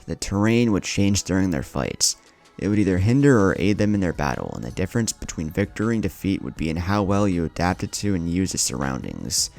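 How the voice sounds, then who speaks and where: A male narrator reads scripted adventure fiction in a dramatic manner. His voice is low and gravelly, with lively movement in pitch as he tells the story. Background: music bed.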